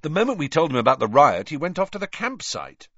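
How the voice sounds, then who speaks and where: A man's voice: a little high, sing-song, quick pace, a bit bright, voice pushed up, full character voice. A man voicing a blunt, exasperated teenage boy in an audiobook story. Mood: incredulous. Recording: dull lo-fi audio, roomy echo.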